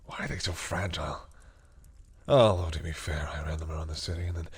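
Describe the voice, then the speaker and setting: A man playing a commanding vampire in an audio roleplay, haughty and deliberate, menacing yet reluctantly caring toward humans. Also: rumbling low, steady tone, husky, no echo, exaggerated voice. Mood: conflicted, subdued. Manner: haughty, deliberate, often drops into hushed brooding. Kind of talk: dramatic audio roleplay addressed to the listener.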